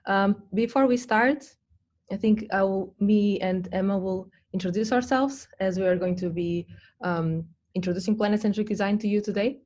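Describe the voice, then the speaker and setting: A woman's voice: medium pitch, normal speed, darkish tone. A young adult woman from Portugal presenting an online design workshop over a video call, with frequent ums and restarts. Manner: hesitant, many ums and restarts.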